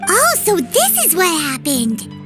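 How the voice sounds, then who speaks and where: A woman voicing a tiny, curious, childlike fairy companion in a video game, with a naive, squeaky way of talking. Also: huge pitch swings, takes it slowly, music in the background, exaggerated voice. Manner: squeaky, naive, refers to self in third person.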